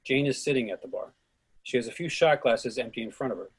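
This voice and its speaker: A man's voice: medium pitch, fast talker, slight background noise. A man narrating the action and stage directions at a script reading. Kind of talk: scripted narration at a readers theatre.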